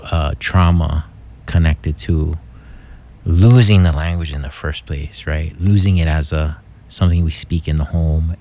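A man's voice: low-pitched, a little mellow. A man from Hawaii, thinking aloud in a casual explanatory monologue, hesitant, with many fillers and restarts.

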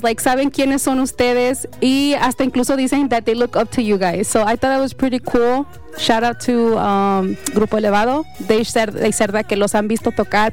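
A woman hosts a relaxed interview, sliding easily between Spanish and English. Her voice sits a bit high and her manner is chatty and playful. Faint music plays in the background. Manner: chatty and teasing, laughs often. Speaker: interview host.

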